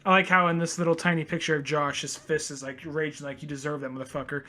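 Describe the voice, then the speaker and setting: Young adult man, sharp bright tone, casual read-along podcaster mixing dramatic line readings with loose chat. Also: a little high, flat delivery, fast. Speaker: podcast co-host.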